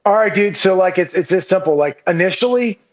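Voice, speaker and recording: A man's voice: high-pitched, expressive, brisk, muffled recording. Casual guy swearing, saying "dude" a lot. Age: under 40. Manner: swears freely, says "dude" and "like" constantly; rambling, self-deprecating, laughs at himself. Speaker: podcast guest. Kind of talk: casual conversational chat.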